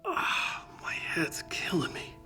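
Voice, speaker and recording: A man's voice: takes it slowly, bright, forceful tone, soft background music. An actor playing a troubled, haunted male novelist in a video game, earnest and brooding. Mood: pained. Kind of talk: dramatic video game cutscene acting.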